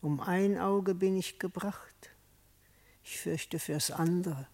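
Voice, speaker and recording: A wounded wolf courtier from an old fable, speaking with fear and despair. His voice is a bit high and he talks slowly. A long hall reverb trails behind it. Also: steady tone, a little mellow, exaggerated voice. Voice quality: despairing, fearful. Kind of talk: classic radio play acting.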